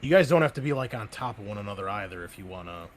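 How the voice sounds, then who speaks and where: A man acting as host and game master of a tabletop roleplaying game, explaining the scene in a relaxed way with many fillers. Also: fairly low pitch, very fast, crowd noise. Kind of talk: running a tabletop game session.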